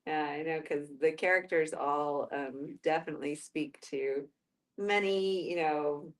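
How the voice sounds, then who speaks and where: Very low-voiced woman, hesitant. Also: measured pace. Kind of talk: casual group discussion.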